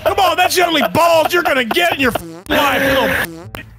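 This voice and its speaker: A man doing a squeaky gravelly shouting troll. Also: sing-song, a little fast, noisy background, full character voice. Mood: taunting. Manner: shouting insults, cackling, taunting. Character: squeaky-voiced grumpy thrice-divorced man gamer troll. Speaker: gamer trolling in voice chat. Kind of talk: game voice chat trolling.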